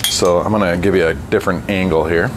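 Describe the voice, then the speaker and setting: A man working on a bike in his workshop, talking casually through each step with a relaxed, chatty manner. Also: low voice, fairly quick. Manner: relaxed, chatty, thinks aloud with fillers. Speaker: bike mechanic and channel host. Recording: workshop noise behind the voice.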